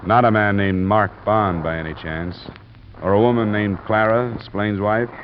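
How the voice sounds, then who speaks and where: An actor playing a world-weary, dogged insurance investigator in a 1950s American radio drama, speaking in a hard-boiled, matter-of-fact way. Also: male voice, lowish voice, fairly even intonation, moderate pace, close to an everyday voice. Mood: firm, probing. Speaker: lead actor and narrator of a detective radio drama. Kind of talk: 1950s American radio detective acting, clipped narration. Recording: noisy, muffled vintage radio recording.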